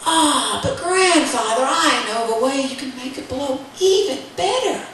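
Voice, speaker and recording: Woman doing a whiny sneaky little boy for a folktale, high n sing-songy, very echoey. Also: lively intonation, a bit bright. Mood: sly, flattering. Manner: sing-song, exaggerated, drawn-out pleading. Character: strong little boy, mischievous, wheedling, whiny trickster grandson. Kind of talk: oral folktale storytelling. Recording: echoey room, distant mic, faint noise.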